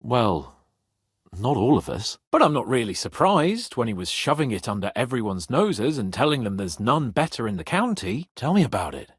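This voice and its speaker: An actor playing a brash, haughty young medieval nobleman in a video game, sardonic and hot-tempered, with a slightly husky voice. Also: a little high, no room sound, studio quality. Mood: weary, complaining. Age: young adult. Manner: haughty, sarcastic, swearing, expressive.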